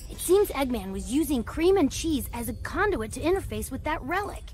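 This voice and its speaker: A woman's voice: sits high, big pitch swings, a little fast, a little mellow. A woman voicing an earnest, clever young boy fox inventor in a video game, explaining things. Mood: flustered. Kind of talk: animated video game cutscene acting. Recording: music under the voice.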